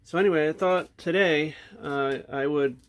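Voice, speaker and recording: A man giving a casual, unscripted hands-on demonstration, thinking aloud in a low-key way as he works at an indoor bench. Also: normal speed, clean tone. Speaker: hobbyist host showing a radio repair. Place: indoor workbench. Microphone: handheld or tripod camera mic.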